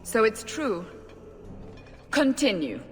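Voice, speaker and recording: A woman's voice: on the high side, very animated, takes it slowly, faint music behind. A woman playing a stern, suspicious interrogator in a fantasy game, demanding, skeptical and impatient. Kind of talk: fantasy video game acting. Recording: long hall reverb, faint ambient noise.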